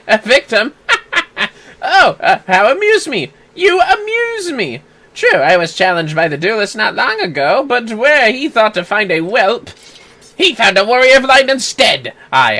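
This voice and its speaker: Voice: mid-range voice, big pitch swings, measured pace, pushed and bright. A performer voicing a boastful, cowardly young male would-be warrior with theatrical bluster, reading game dialogue aloud. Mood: boastful, bluffing.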